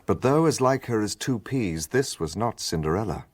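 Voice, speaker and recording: Actor as animated low-voiced English gentleman companion. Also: normal speed, slight background noise, natural voice. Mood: grave narration. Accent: British. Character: earnest English gentleman narrator, detective's excitable loyal companion. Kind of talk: radio drama narration and dialogue.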